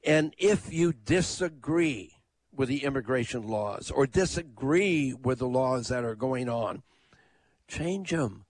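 A man's voice: medium pitch, expressive, slow, dry recording. A man hosting a call-in radio talk show, speaking in a conversational, emphatic way. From United States.

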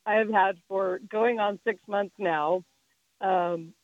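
Hoarse lady caller, lots of ums, dull muffled phone sound. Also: mid-range voice, fairly even intonation, slow, dry recording. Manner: hesitant, many ums.

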